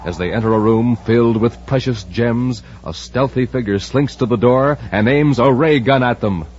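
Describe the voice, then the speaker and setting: A man announcing in a 1950s radio style, emphatic and theatrical, like a serial narrator and pitchman. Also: moderate pace, a little mellow. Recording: noisy, muffled old radio recording.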